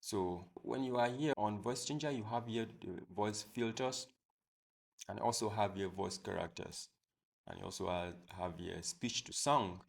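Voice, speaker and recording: A man hosting a software tutorial, explaining step by step in a plain, repetitive way. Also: on the low side, creaky. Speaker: tutorial host. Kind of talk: screen-recorded software tutorial.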